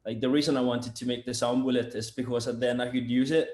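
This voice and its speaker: A man's voice: mid-range voice, steady tone, fast, a little mellow. Man, rambling, fillers, roomy echo. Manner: rambling, many fillers and restarts. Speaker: sound engineer and product developer, guest. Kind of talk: casual interview chat.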